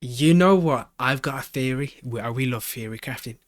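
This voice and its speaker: Male reaction host, medium pitch, casual thinking-aloud delivery full of slang, close and dry sound. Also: moderate pace, no room sound.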